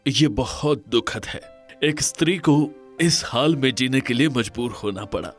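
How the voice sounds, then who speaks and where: A man playing an earnest, authoritative Indian king in a cartoon folk tale, speaking formally and deliberately. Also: mid-range voice, expressive, soft background music, lightly acted. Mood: calm, caring. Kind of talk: dramatised cartoon storybook dialogue.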